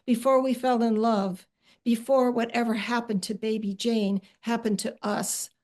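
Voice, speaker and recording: Middle-aged woman poet, halting chat then slow careful reading, plain voice. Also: medium pitch, a little mellow. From Ukrainian-American family. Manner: hesitant with fillers between reading, slow deliberate reading of poems. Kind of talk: poetry reading and conversation.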